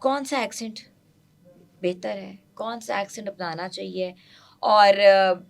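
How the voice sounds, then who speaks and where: A woman's voice: medium pitch, measured pace, vocal fry. A woman hosting a podcast interview, chatty and slipping English phrases into her questions. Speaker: podcast host.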